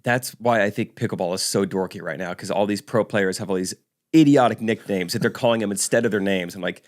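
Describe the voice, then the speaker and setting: A man co-hosting a podcast, telling stories in a dry, relaxed way and mimicking the people in them. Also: fairly low pitch, quick pace. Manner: dry, relaxed storyteller who mimics others. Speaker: podcast co-host. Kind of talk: casual storytelling chat.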